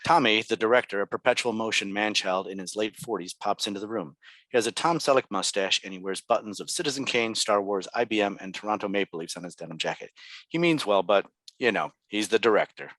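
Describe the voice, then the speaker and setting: Casting: male narrator for stage directions, fairly low voice, close dry sound. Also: quick pace, a bit bright, dry recording. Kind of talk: scripted narration at comedy table read.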